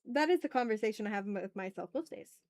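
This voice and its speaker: A woman's voice: medium pitch, lively intonation, fast, darkish tone. A woman chatting casually on an art livestream, thinking aloud with self-deprecating remarks and some swearing. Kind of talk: casual livestream chat while drawing.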